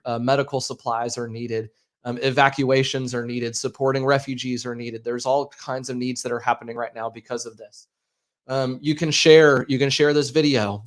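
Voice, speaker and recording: American guy in his 30s hosting a stream, earnest and halting, kinda monotone, lots of ums. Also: mid-range voice. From United States. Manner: earnest, halting, many fillers and repeats. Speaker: livestream host. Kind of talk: unscripted appeal to viewers. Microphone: speaking into a microphone.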